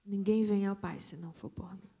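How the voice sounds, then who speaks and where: A woman missionary, telling a testimony in a sermon, with dramatic pauses and hushed emphasis. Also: medium pitch, creaky, breathy edge. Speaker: missionary preacher.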